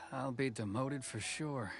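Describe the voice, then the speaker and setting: Casting: dutiful, troubled young officer, anime game, mid-range voice, restrained, music underneath. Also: male voice, medium pitch, vocal fry, fairly bright tone, full character voice. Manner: restrained, serious, formal. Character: earnest, conflicted young male commission officer. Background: background music.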